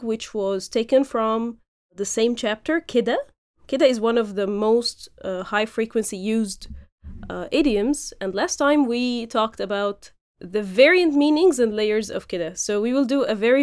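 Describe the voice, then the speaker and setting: A woman hosting a podcast, explaining language points in an instructive way with frequent fillers. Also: fairly high pitch. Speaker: podcast host and Arabic teacher. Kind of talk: lecture-style podcast recap.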